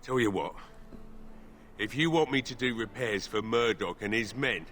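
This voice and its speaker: A bitter, hard-drinking village smith from a fantasy video game, acted by a man. He is gruff and resigned, with a sardonic bite and a forceful, bright edge. Faint music plays behind him. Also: on the low side, normal speed, natural voice. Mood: sceptical, bargaining. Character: bitter, drunken, despairing village blacksmith.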